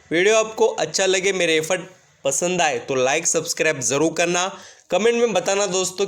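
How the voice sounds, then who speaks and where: A man's voice: high-pitched, a bit bright. A man hosting an online finance video, explaining figures to viewers and mixing in many English terms and numbers. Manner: Hindi heavily mixed with English terms and numbers. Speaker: finance YouTube host. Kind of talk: explanatory stock results commentary to viewers.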